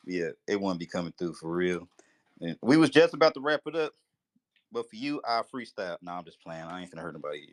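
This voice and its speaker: A man's voice: sits low, big pitch swings, quick pace. A man hosting a casual live audio chat, laid-back and joking, laughing often. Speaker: host.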